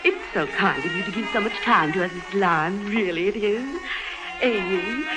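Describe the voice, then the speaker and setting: An actress playing a tipsy, gushing, wealthy middle-aged widow in a 1950s radio drama, effusive and fluttery in a genteel way. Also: female voice, mid-range voice, normal speed, lightly acted, low-quality recording. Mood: giddy, sentimental. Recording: vintage radio recording, music playing behind.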